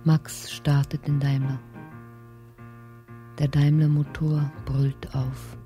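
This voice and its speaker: An actress playing a detached narrator in a radio drama, hushed and measured as she voices inner thoughts. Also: low-pitched, level tone. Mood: hushed narrating. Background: faint music.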